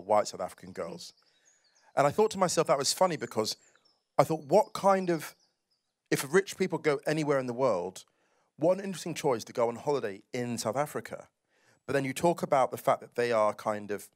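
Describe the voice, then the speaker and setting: Young man from the UK, mid pitch, dry close handheld mic, probing festival interviewer outdoors. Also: no room sound. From United Kingdom. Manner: curious, probing questions. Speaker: writer and moderator. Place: outdoor festival stage among trees. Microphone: handheld microphone.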